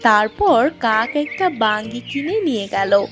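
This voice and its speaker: A woman tells a children's story in a high voice with lively pitch movement. Her pace is quick, and soft music plays behind her. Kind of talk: scripted children's story narration.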